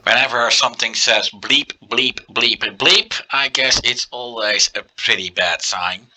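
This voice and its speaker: Dutch-accented male let's-player, slow and bright, relaxed with fillers. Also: mid-range voice, slight background noise. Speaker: let's play commentator. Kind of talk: casual gameplay commentary.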